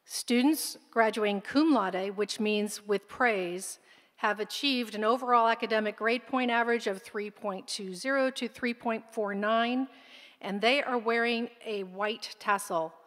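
A woman's voice: on the high side, unhurried, a bit bright. A woman at a podium formally announcing academic honors at a graduation ceremony in a large hall. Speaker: school administrator announcing honors. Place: large concert hall stage. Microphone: podium microphone. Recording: long hall reverb, faint crowd.

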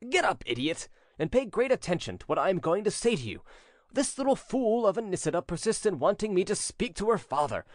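A man's voice: sits high, no room sound, clean studio sound, pitched above the performer's own voice. A man voicing a haughty, menacing prince in a storybook reading, with a severe, commanding and insulting manner. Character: haughty, menacing prince bullying his servant. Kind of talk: audiobook character acting.

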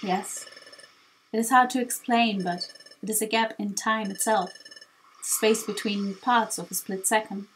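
A woman voicing a gentle, solemn sea creature who guards secrets in a video game, speaking formally and gravely. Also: medium pitch, fairly even intonation, measured pace, a little hiss or hum, pitched below the performer's own voice, close to an everyday voice, low-quality recording.

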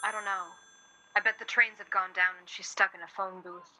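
Insistent concerned woman in a radio play, very bright sharp voice, pleading kinda exasperated. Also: mid-range voice, fairly even intonation, fairly quick, a little hiss or hum, rough recording. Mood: worried. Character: insistent, concerned woman persuading a stubborn man. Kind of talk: naturalistic radio drama acting.

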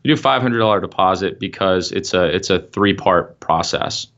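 A man's voice: low voice, moderate pace, a bit bright. A young man, a marketing consultant, explaining his firm's process in an interview over a video call from an office, with frequent fillers. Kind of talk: interview answers. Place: office room. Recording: dull video-call audio.

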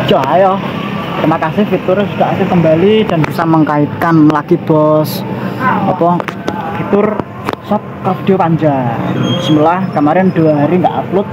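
A man's voice: fairly high pitch, measured pace. Young man vlogging outdoors. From Indonesia. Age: young adult. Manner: loose, rambling, mixes in local slang. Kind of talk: casual vlog chat. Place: outdoor cafe terrace with bamboo blinds. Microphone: handheld phone camera held close. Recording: muffled phone audio, loud outdoor traffic.

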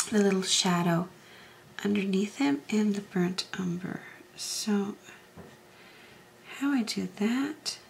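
A woman hosting a craft tutorial, talking through each step in a soft, unhurried way, often dropping to a half whisper, her voice a little hoarse from allergies. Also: mid-range voice, a little hiss or hum. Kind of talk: casual step-by-step demonstration.

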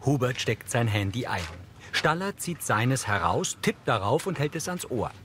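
A man's voice: medium pitch, very animated, moderate pace, fairly bright tone, slight background noise. A man reading scripted audio description, narrating in the present tense what happens on screen. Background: music.

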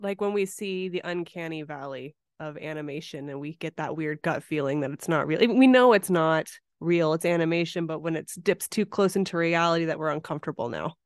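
A woman's voice: deep voice, brisk, few pauses, clear, resonant tone, no room sound, clean studio sound. A woman hosting a podcast in a casual, conversational interview style. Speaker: podcast host.

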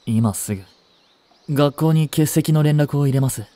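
A man acting a cool, haughty butler, slow and measured. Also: a little high, mellow, no echo, natural voice. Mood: concerned, formal. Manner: formal, polite, clipped, turning contemptuous toward a rival. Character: cool, devoted young male butler, haughty and jealous. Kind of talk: Japanese voice drama acting.

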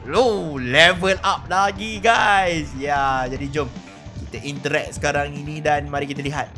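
A Malaysian man hosting a gaming video with excited, exclamatory gameplay commentary. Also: a little high, measured pace, faint music behind. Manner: exclamatory, animated reactions.

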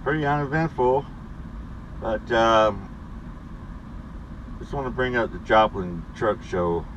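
A middle-aged man who drives a truck, chatting casually in a weekly vlog with a laid-back, rambling manner full of pauses and fillers, recorded by a dashboard camera inside his cab. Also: lowish voice, fairly bright tone, dull audio, a little hiss or hum. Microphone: camera mounted on the dashboard.

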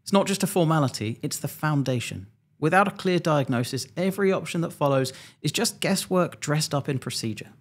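A man around forty, an airline pilot, presenting a scripted explainer in a measured, methodical, slightly dry way, close to a desk microphone. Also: fairly high pitch, lively intonation. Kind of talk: scripted explainer narration to camera. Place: small home studio indoors.

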